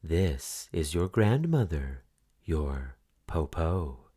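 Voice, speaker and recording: A sly old wolf crooning low , as a man puts him on. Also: big pitch swings, drawn-out words, warm tone, slightly rough, heavily acted. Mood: sly coaxing. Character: cunning old male wolf posing as grandmother.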